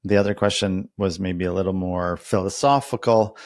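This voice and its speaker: A man's voice: sits low, steady tone, moderate pace, a little mellow, dry recording. A man hosting a casual conversational interview, asking reflective, informal questions as he thinks them through. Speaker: interviewer, podcast host.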